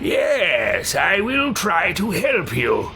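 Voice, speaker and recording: A man playing a curious, earnest fantasy game adventurer, speaking in a deliberate, questioning way. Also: high-pitched, gravelly, faint music behind, pitched above the performer's own voice, full character voice. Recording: steady background noise.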